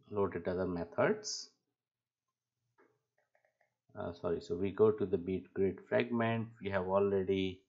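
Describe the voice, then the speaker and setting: A man giving an unscripted coding tutorial as an instructor, explaining step by step in a halting way, on a dull lo-fi microphone. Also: sits low, darkish tone. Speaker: programming instructor.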